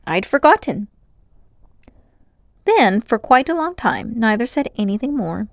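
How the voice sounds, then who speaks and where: A woman narrates a storybook aloud with expressive delivery. Her pitch is on the high side and rises and falls in lively swings. The sound is dry, with no room echo. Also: moderate pace.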